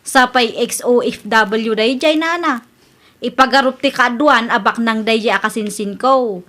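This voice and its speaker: A woman's voice: on the high side, unhurried, pushed and bright. A woman with an Ilocano accent playing a proud, gossipy village wife in a comedy radio drama. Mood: indignant, mocking.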